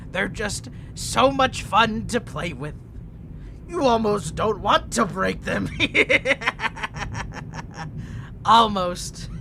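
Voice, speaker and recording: A man's voice: noisy background, full character voice. A man voicing an insane, gleeful vampire prisoner with a grating voice, taunting theatrically in an audio roleplay. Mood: playful menace. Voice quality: sharp, grating voice modeled on a famously shrill comedian. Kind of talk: acted ASMR audio roleplay.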